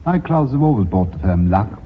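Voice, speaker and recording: A man's voice: lowish voice, very animated, brisk. A man acting in a radio drama as a sentimental, whimsical clothmaker. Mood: kindly. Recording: muffled distant radio recording, faint hiss.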